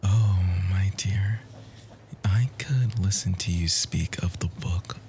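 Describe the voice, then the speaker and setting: A man playing a charming, bookish nerd in an ASMR romance roleplay, flirting in formal, poetic phrases with a soft, breathy, often near-whispered voice. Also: sits low, slow pace, soft background music. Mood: apologetic, tender. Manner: formal, wordy, gently hesitant flirting.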